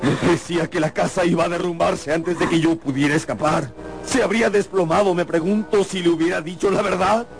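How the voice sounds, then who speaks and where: An actor playing a haunted, guilt-ridden narrator of a radio drama, intense and portentous in delivery. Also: male voice, sits high, fairly even intonation, brisk, vocal fry, soft background music. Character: haunted male narrator, brooding, horrified, guilt-ridden seafarer.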